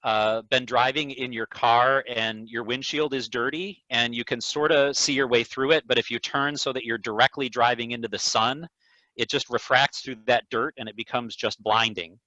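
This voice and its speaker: A man speaking as an eye doctor, explaining things to a patient in a measured, careful way over a video call. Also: medium pitch, fairly even intonation, sharp tone, no room sound. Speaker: neuro-ophthalmologist answering patient questions. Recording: dull, lo-fi video call audio.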